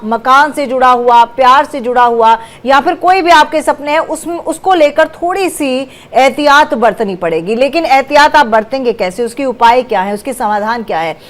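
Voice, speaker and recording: Lady tv anchor running a call-in show, brisk, high-ish voice, keeps repeating things for viewers, coughs a bit. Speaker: news channel anchor. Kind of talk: live TV call-in show hosting. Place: TV studio.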